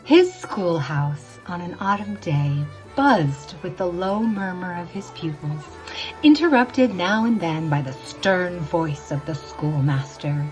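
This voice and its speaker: A woman telling a spooky old tale as scripted narration, theatrical and deliberate, drawing out words for drama. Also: fairly low pitch, lively intonation, darkish tone, poor audio. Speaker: storyteller, narrator. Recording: music behind the voice. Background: instrumental music.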